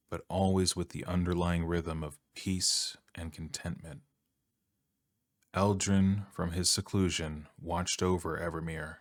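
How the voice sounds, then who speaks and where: Male ASMR bedtime narrator: very low, soft-spoken, calm and measured, with a flat, even delivery. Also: no room sound, clean studio sound.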